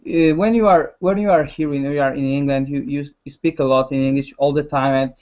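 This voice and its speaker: A man's voice: medium pitch, steady tone. Polish guy, english not his first language, keeps stumbling and repeating, kinda muffled audio. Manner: halting, many restarts and repeated words. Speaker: concept artist guest. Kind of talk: casual chat.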